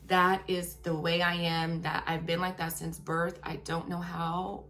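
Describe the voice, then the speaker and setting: A woman telling a personal story to her viewers. She speaks frankly and emphatically and keeps checking in with "okay?". Her voice is turning raspy after a long workday. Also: lowish voice, flat delivery, a little slow, fairly bright tone.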